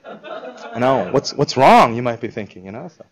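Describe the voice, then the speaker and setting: A man giving an informal talk to a live audience, conversational and animated, acting out quoted reactions. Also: mid-range voice, moderate pace, dull audio. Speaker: speaker presenting a school project.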